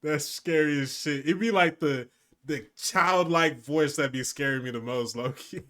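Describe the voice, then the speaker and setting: A young man hosting a reaction video, giving excitable, slangy commentary and repeating his phrases. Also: fairly high pitch, measured pace.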